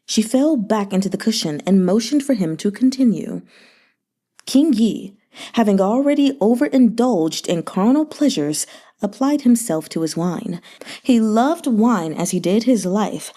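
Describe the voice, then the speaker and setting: A woman's voice: medium pitch, dark tone. A woman reading a novel aloud expressively, sometimes adding brief asides of her own. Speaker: audiobook reader.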